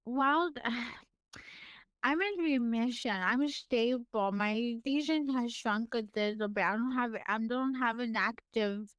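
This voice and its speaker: A woman with a high, clear, smooth voice and a slight lisp, shaping each word with effort. She speaks slowly in relaxed interview chat and laughs easily. The sound is dry and close. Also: no echo. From California. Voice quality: high-pitched voice. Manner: animated, laughs often, pushes extra hard on words. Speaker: podcast guest.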